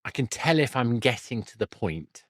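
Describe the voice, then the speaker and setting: A middle-aged man hosts a podcast interview in a casual, chatty way. His voice sits at a medium pitch with a very dry, room-free sound. He is self-deprecating and often rephrases as he thinks aloud. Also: big pitch swings, moderate pace, a bit bright, no room sound.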